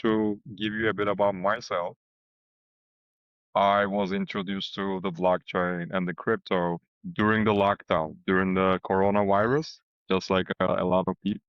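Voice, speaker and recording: A fairly low-voiced man with a Turkish accent, speaking English as his second language in relaxed podcast chat. He tells stories with easy laughter and plain wording. The sound is dry and slightly dull, as if it came through a remote call. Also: moderate pace, clear, resonant tone, no echo. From Turkey. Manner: lively storyteller, laughs readily, plain wording. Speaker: podcast guest, crypto strategist. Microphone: remote video call.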